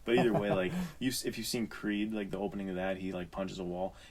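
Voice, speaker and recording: A man's voice: low-pitched, fairly even intonation, fast, a loud crowd. A man co-hosting a podcast in casual, informal chat, speaking in an earnest, encouraging way. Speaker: podcast co-host.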